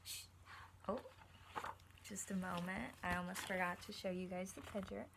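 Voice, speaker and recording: A woman teacher reading a picture book to children, encouraging them and pausing to explain things simply and ask questions. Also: fairly low pitch, steady tone, gravelly, background noise. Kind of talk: read-aloud lesson with questions to young listeners.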